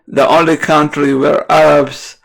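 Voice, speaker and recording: Male podcast host, native Arabic speaker, strong vocal fry, slow pace, halting delivery, self-correcting, off-the-cuff political commentary. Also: a little high, flat delivery, poor audio. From Middle East. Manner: halting, searching for words with frequent self-corrections. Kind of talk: unscripted political commentary monologue.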